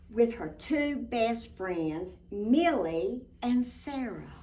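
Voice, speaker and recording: A woman reading a picture book aloud expressively, deliberate and emphatic, with little asides to young listeners. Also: fairly high pitch, darkish tone. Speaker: children's storybook reader, teacher. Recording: distant microphone.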